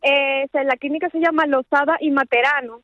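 A woman's voice: sits high, steady tone, fairly quick, a bit bright, dry recording, lo-fi sound. A Venezuelan woman interviewed on the radio, speaking earnestly and repeating words as she searches for them. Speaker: guest, charity organizer.

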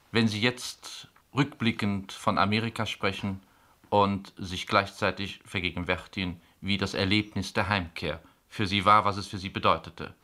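Bright, formal male interviewer. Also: fairly low pitch. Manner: elaborate, formal phrasing. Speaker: host.

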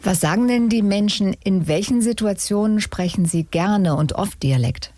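A woman's voice: mid-range voice, moderate pace, warm tone, dry recording. A woman presenting a radio programme in a composed, structured way, with scripted introductions and interview questions. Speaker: radio host interviewing an expert. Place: radio studio.

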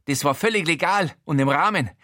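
A man's voice: on the high side, brisk, pushed and bright, dry recording, studio quality, voice pushed up. A man voicing a grumbling, self-justifying landlord in an audiobook, pedantic and exasperated.

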